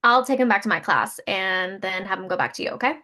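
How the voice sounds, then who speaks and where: An actress playing an eager, earnest young schoolteacher in a comedy table read, perky and animated. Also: female voice, mid-range voice, quick pace. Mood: helpful.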